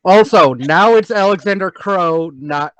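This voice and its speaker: A man's voice: high-pitched, a little slow, a bit bright, clean tone, dry recording, low-quality recording. Male voice actor, off-script casual banter at a table read, close dry sound.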